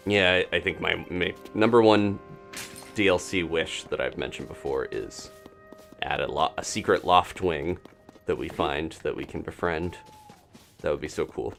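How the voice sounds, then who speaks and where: A man streaming a video game, giving casual live commentary and chatting as he thinks out loud. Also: low-pitched, normal speed, gravelly, soft background music.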